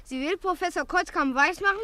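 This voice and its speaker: A woman's voice: very high-pitched, brisk, pushed and bright, dry recording. A woman playing an eager, breezy young schoolboy in a 1950s radio play. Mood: breezy, confiding. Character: eager young schoolboy, keen follower of the gang.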